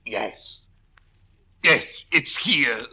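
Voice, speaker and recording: An actor playing a cold, menacing doctor in a 1940s radio drama, speaking formally, precisely and imperiously. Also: male voice, mid-range voice, lively intonation, drawn-out words, gravelly, exaggerated voice. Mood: tense, gloating. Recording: noisy, clicking 1940s radio recording.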